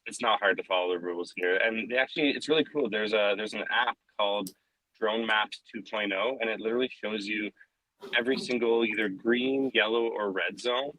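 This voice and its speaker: Easygoing guy, lowish but bright tense voice, dry mic. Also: brisk, poor audio. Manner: easygoing, with many fillers. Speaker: drone pilot guest. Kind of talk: casual chat.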